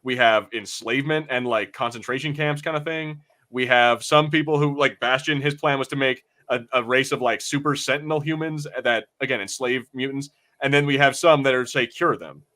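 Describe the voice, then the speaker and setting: A man co-hosting a podcast, talking in a casual, slangy, animated way with some swearing. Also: medium pitch, a little fast. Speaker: podcast host discussing a show. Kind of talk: casual group discussion with swearing.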